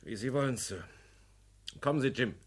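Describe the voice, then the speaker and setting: An actor playing a level-headed deputy in a radio crime drama, formal, earnest and persuasive. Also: male voice, mid-range voice, normal speed, husky, lightly acted. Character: level-headed, dutiful male deputy, reasoning and deferential. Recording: old radio play, faint noise.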